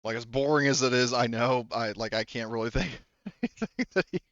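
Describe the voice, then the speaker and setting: Halting man with a clean, dry voice. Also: mid-range voice, a bit bright, dry recording, dull audio. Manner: halting, rambling, informal. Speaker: game master. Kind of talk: improvised tabletop game narration, casual.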